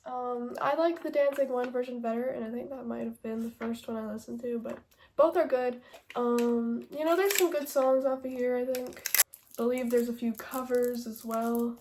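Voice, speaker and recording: A woman's voice: on the high side, steady tone, normal speed, a little mellow, slight background noise. A young woman with a stuffy nose from a cold, chatting casually and rambling through an album ranking with lots of fillers. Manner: rambling, many fillers and hedges. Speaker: music vlogger.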